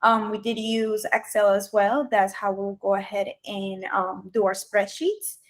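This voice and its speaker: A woman's voice: medium pitch, little pitch movement, a little slow. A young woman from Colombia whose first language is Spanish, answering questions in a video job interview, hesitant and full of ums. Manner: hesitant, many ums and restarts. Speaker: job candidate. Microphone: video call.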